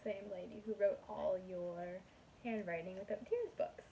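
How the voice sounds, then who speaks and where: A woman's voice: medium pitch, moderate pace, dark tone, a little hiss or hum. A woman kindergarten teacher reading a picture book aloud to young children in a sing-song manner.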